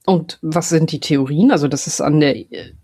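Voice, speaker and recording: A woman's voice: low-pitched, moderate pace, warm tone, slightly rough. A woman with a slight lisp, hosting a casual podcast and asking curious follow-up questions. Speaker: podcast host.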